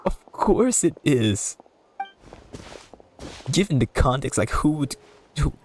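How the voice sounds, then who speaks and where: A man giving casual live commentary as a game streamer, rambling and halting as he repeats words. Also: fairly high pitch, very animated, noisy background.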